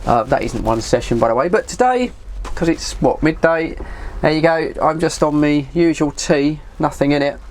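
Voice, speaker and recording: A middle-aged English man talking in a fairly high voice for a male speaker. He rambles along casually, as if chatting to his viewers, with a self-deprecating, lightly sweary way of putting things. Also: lively intonation, normal speed, a little hiss or hum. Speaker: hobbyist vlogger and CB radio enthusiast. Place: shed.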